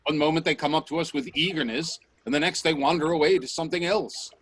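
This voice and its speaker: An actor playing a bold, probing scientist and time traveller in a radio play, speaking in an assertive, professorial way. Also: male voice, fairly high pitch, fast, a bit bright. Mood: probing, dissatisfied. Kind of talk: radio drama acting. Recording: noisy video-call audio with clicks.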